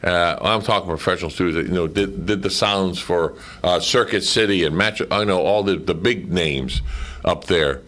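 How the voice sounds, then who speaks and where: Male radio guest, low-pitched, mature, rambling storyteller in relaxed interview chat. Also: normal speed. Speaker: guest, former radio drama voice actor. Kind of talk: casual reminiscing in a radio interview.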